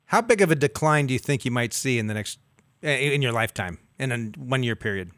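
A man in his fifties co-hosting a call-in advice show, explaining things in a measured way with figures. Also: medium pitch, expressive, fairly bright tone, dry recording. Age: 50s. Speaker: radio show co-host. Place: studio.

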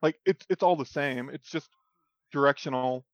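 A man's voice: medium pitch, normal speed, no echo, dull audio. A man co-hosting a podcast in casual chat, teasing the others and laughing often. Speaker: podcast co-host.

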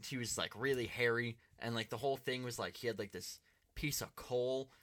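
A young man telling a rambling story on his podcast, filling it with "like" and casual swearing. His voice sits at a middle pitch and is recorded close and dry, with no room sound. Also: fairly quick, fairly bright tone, no room sound. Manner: profane, filler-heavy, lots of "like". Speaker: podcast host.

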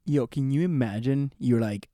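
Man in his twenties, excitable casual podcast chat, dry close sound. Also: medium pitch, moderate pace, no echo. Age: 20s. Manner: laughing, excitable, swearing. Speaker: podcast co-host.

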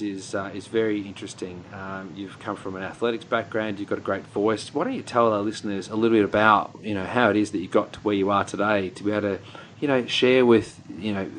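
Relaxed New Zealand-accented man, low voice. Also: slight background noise, low-quality recording. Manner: relaxed, rambling, with many fillers. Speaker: podcast host. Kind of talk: casual podcast chat.

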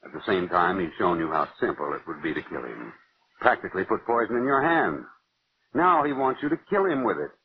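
An actor playing an urgent confidant giving warnings in a 1940s radio drama, insistent and dramatic. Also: male voice, deep voice, very animated, fairly quick, slightly rough, no room sound, heavily acted. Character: urgent male confidant warning his darling. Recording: muffled old radio recording.

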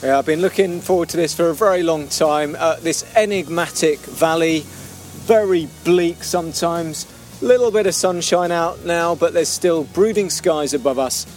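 A man's voice: fairly high pitch, moderate pace, soft background music. A man hosting a walking podcast outdoors in a mountain valley, setting the scene in descriptive terms. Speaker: podcast host.

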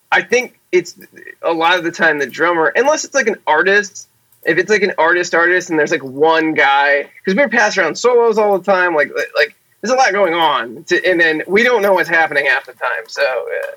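Male host, high-ish bright voice, rambling explainer, casual podcast feel. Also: rough recording. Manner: rambling, explanatory, with fillers and laughs.

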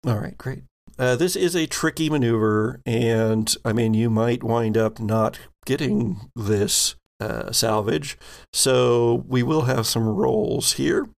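A man narrating a tabletop sci-fi game as game master, explaining in a halting way. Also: mid-range voice, gravelly.

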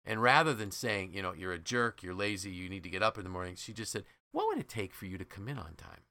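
A man with a fairly low, bright and punchy voice hosts a leadership podcast in a dry, close sound. He tells stories conversationally, with enthusiasm and emphasis, slipping into quoted dialogue as he goes. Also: very animated, quick pace, dry recording. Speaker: podcast host and leadership trainer.

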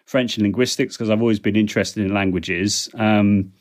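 A man's voice: lowish voice, fairly even intonation, moderate pace, a little mellow. A middle-aged man, a podcast guest, talking chattily with frequent ums and small restarts as he answers questions. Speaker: guest, language technology consultant. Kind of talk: relaxed interview answers.

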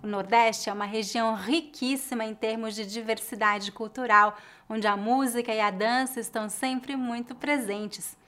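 Brazilian woman teaching, são paulo accent, slightly high voice, calm and clear. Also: normal speed. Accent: São Paulo Portuguese. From Northeast Brazil. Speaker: language teacher. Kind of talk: scripted educational narration.

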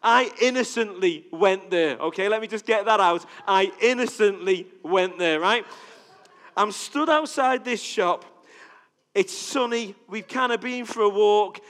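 A man's voice: high voice, measured pace, a bit bright. A middle-aged man with a Liverpool accent preaching in a chatty way, asking the crowd questions. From England. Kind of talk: conversational sermon. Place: church hall with audience. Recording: reverberant hall.

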